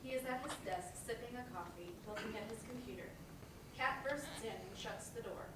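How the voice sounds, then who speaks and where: A woman's voice: fairly high pitch, a little fast. A woman giving scripted narration, reading out the stage directions of a play. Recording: very echoey noisy room, far off.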